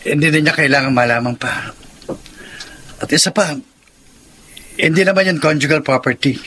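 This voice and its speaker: A man's voice: a little high, normal speed, pushed and bright, a little hiss or hum. An actor playing a troubled, defensive married man cornered by debts, in Filipino radio drama style, with a Filipino accent. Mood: anxious, evasive.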